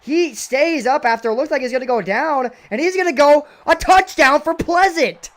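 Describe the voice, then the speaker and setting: Young man, high voice, slightly raspy, excitable play-by-play commentary, spikes on big plays. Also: normal speed. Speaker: video game football commentator.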